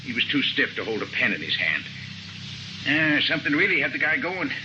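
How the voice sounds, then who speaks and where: A man's voice: mid-range voice, big pitch swings, fairly quick, dull audio, close to an everyday voice. An actor playing a shifty male hotel clerk in a 1950s radio drama, pushy and evasive. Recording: noisy vintage radio recording.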